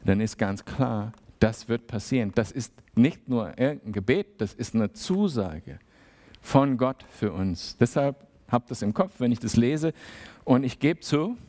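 A man's voice: medium pitch, lively intonation, measured pace, mellow. Casual conversational male preacher. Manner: conversational, acts out small dialogues. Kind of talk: sermon.

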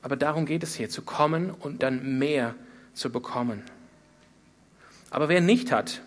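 A man's voice: mid-range voice, normal speed. A man preaching a sermon, speaking earnestly with emphatic repetition and rhetorical questions. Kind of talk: sermon, expository Bible preaching.

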